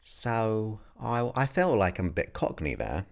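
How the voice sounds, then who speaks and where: A man in his forties, a hypnotist, hosting a casual live Q&A, chatting in a relaxed way and reading viewer questions aloud. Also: fairly low pitch, measured pace, creaky. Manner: relaxed, chatty, reads questions aloud and muses between them. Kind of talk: casual live-stream Q&A chat.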